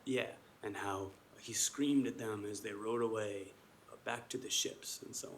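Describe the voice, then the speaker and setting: A man's voice: fairly low pitch, steady tone, moderate pace, lightly acted. A man acting a hesitant, self-doubting army officer who speaks casually and ramblingly in a naturalistic stage play. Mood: storytelling. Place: indoor performance space. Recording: live stage video with background noise.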